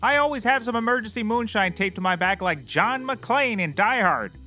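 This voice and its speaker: An actor playing a deluded, cheerfully oblivious private investigator who talks excitably and boastfully in a scripted comedy. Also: male voice, sits high, normal speed, soft background music. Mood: blithe. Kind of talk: scripted comedy audio acting.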